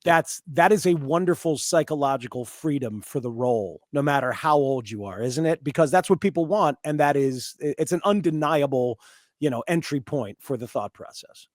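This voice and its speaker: A man's voice: a little high, expressive, normal speed, dry recording, clean studio sound. A man in his fifties with an American accent, hosting a casual sports radio interview in a conversational way. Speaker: sports talk radio host.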